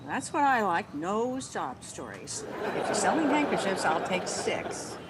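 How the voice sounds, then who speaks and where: A woman's voice: medium pitch, big pitch swings, unhurried, very noisy. A woman playing a wry, wisecracking single woman with dry, sardonic delivery in an old-time radio comedy. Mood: wry amusement. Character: Miss Brooks, wry, wisecracking single woman, a cat owner.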